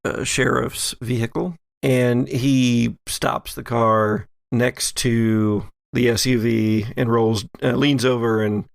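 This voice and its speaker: A man's voice: mid-range voice, fairly even intonation, slow, creaky, hardly any pauses, no echo, clean studio sound. A man working as game master, improvising narration for a tabletop role-playing game.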